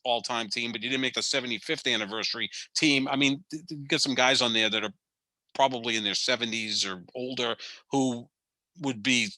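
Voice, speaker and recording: A man's voice: mid-range voice, a little fast, bright, forceful tone, no room sound, low-quality recording. A man in his fifties co-hosting a sports podcast, giving opinionated, rambling takes in casual debate and often restarting his sentences. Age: 50s. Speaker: podcast co-host.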